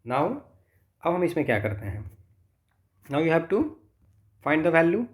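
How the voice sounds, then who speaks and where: A man's voice: fairly high pitch, very animated, slow pace. Indian man tutoring, thinking aloud. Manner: thinks aloud, poses questions and answers them himself. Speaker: physics tutor. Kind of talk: step-by-step exam problem lesson, mixing Hindi and English.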